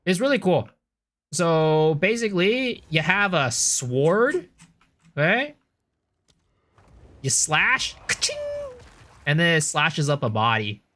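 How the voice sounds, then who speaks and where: Streamer type, young guy, first language Cantonese, bouncy pitch, relaxed chatty delivery for a game livestream. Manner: chatty, relaxed, answers chat with quick asides. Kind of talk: casual game-stream chat with viewers.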